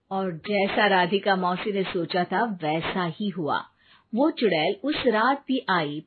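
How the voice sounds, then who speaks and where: A woman narrating a scripted animated story. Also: medium pitch. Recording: narrow phone-like sound, faint noise.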